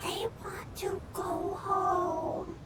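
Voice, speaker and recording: A woman voicing a small, earnest, childlike creature in a video game, talking in halting, simple phrases. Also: extremely high pitch, flat delivery, background noise, heavily acted. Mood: pleading. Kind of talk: scripted video game dialogue. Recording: loud game music behind the voice.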